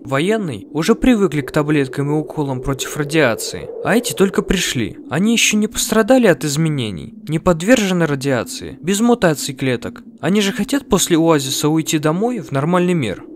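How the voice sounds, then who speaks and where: A bossy scientist, played by a man, curt and insistent in a cartoon dub. His voice sits fairly high and moves in lively swings, with noisy ambience behind it. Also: fairly quick, hardly any pauses. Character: bossy, curt scientist who hired the expedition. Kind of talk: dubbed animated web series dialogue.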